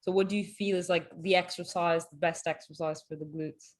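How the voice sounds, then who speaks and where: Easygoing woman host, lower voice. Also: steady tone, a little fast. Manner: easygoing, agreeable, chatty. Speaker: podcast co-host. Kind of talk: casual interview chat.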